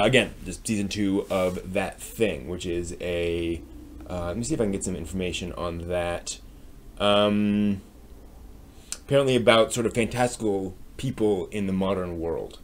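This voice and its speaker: An American man with a fairly low voice hosts a live stream news segment. His delivery is casual and unscripted, with a hesitant, halting flow as he thinks aloud. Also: a little mellow. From United States. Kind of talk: casual anime news rundown.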